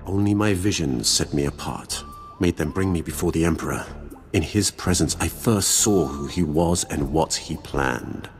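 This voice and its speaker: A very low-pitched, ancient Sith lord from a video game, proud and grim. He speaks formally and solemnly, with cold disdain, over background music. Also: moderate pace. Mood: solemn recounting. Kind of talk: dramatic video game voice acting.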